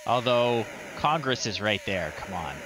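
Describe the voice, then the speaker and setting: A man's voice: on the low side, animated, unhurried, background noise. A middle-aged man giving casual, wry commentary on a live retro game stream. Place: small room indoors. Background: retro chiptune game music.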